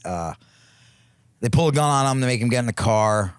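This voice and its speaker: A man's voice: mid-range voice, animated, moderate pace, smooth, no room sound. A man, a comedian and drug counselor, chatting as a podcast guest, rambling and swearing, restarting his sentences. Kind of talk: casual chat.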